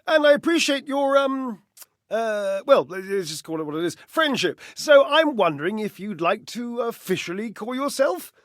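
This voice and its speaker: A man's voice: high voice, very animated, moderate pace, no room sound, studio quality. An actor playing a vain, catty, theatrical male living clothes dryer in a dating game, flirtatious, haughty and arch. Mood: flirtatious, smug. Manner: theatrical, pompous, arch, with mocking chuckles. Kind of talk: dating-game character voice acting.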